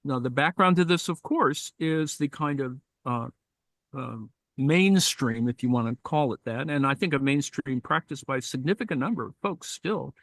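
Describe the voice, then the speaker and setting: A man of middle pitch reads a passage aloud and breaks off to comment. He talks in a thoughtful, halting way, with plenty of fillers and asides. The sound is dry and close. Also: fairly bright tone, dry recording. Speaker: discussion leader reading aloud. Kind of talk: reading and commenting in a live-streamed discussion.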